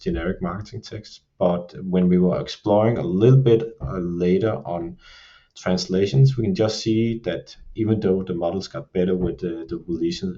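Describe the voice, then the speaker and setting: A man speaking English with a Danish accent, his voice low and mellow. He explains his points in a measured, steady way, often leaning on the filler "you can say". The audio sounds dull and a little muffled, like a plain podcast recording. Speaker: creative production lead. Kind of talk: podcast discussion.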